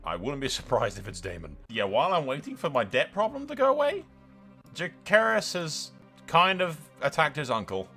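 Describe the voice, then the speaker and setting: A man hosting a game playthrough, commentating in an excitable, sweary, bantering way. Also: a little high, moderate pace, faint music behind. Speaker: host of a gaming channel. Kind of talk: playthrough commentary with in-character narration.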